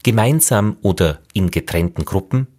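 A man narrating a radio feature in a measured, questioning way. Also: fairly low pitch, expressive, a little mellow. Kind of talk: scripted narration.

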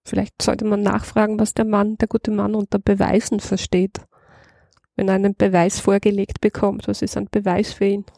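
Casual Austrian woman with creaky voice. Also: mid-range voice, monotone, normal speed, mellow, no echo, clean studio sound. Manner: interjects short agreements and comments. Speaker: teacher, podcast co-host. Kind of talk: casual chat.

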